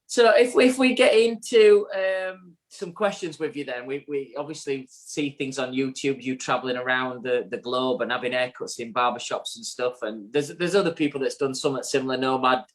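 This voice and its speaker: A man's voice: medium pitch, moderate pace, hardly any pauses. A man from England with a Yorkshire accent, co-hosting a podcast and asking chatty questions. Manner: chatty, friendly questioning with repeated words. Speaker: podcast co-host. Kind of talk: casual podcast interview.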